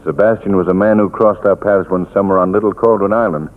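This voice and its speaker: An actor playing a suave, thoughtful gentleman telling a tale in a 1940s radio drama. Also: sits low, fairly even intonation, fairly quick, natural voice. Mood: reflective. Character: suave, thoughtful gentleman storyteller, calm and worldly. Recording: tinny 1940s radio recording.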